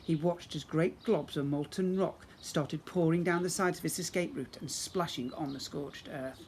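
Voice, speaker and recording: A man giving an expressive storybook narration, as a teacher reads to young children. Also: a little high, moderate pace. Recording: clear background noise.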